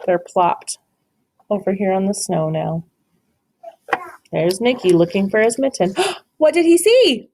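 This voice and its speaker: Brief: woman teacher, read-aloud to a kid, animated pitch, gentle, encouraging. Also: a little slow, long pauses. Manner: gentle, encouraging, dramatic storytelling. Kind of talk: children's read-aloud with questions.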